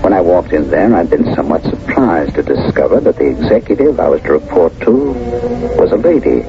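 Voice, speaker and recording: An actor playing a reserved American businessman in his fifties in a radio drama, measured and reflective, who also narrates his own story. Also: extremely low pitch, animated, over music. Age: 50s. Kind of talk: 1970s radio drama acting and first-person narration. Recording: dull, noisy 1970s radio recording.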